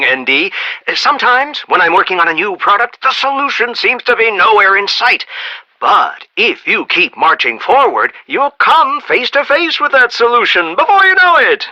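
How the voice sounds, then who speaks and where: An actor playing a proud, eccentric self-made American inventor tycoon in a video game, talking in a folksy, rambling, emphatic way. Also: male voice, sits high, pushed and bright, dull audio, full character voice. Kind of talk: animated video game voice acting.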